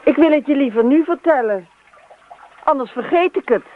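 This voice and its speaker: An actress in a Dutch radio play, playing a dreamy, chatty wife who rambles on insistently and repeats herself. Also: female voice, sits high, expressive, moderate pace. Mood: eager, insistent. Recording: tinny band-limited radio recording with hiss.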